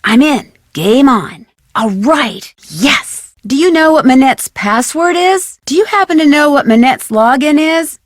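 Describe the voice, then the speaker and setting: An American woman playing a curious, plucky, polite young detective in an adventure video game, with clear, inquisitive delivery. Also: high-pitched, expressive, measured pace, hardly any pauses, no room sound, studio quality. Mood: polite, upbeat. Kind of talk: scripted adventure game dialogue.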